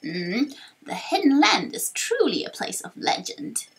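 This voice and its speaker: A woman voicing a forgetful old male tortoise elder in a video game, halting and murmuring as he talks. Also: on the high side, big pitch swings, gravelly, a bit bright, exaggerated voice, low-quality recording. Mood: sage, musing. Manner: slow, halting, mumbling.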